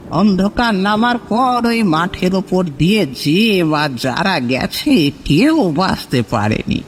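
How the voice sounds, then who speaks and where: A man's voice: on the high side, noisy background, pitched above the performer's own voice. A man voicing a kindly, anxious old village grandfather in a cartoon story, speaking emphatically. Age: older. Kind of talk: Bengali cartoon story acting.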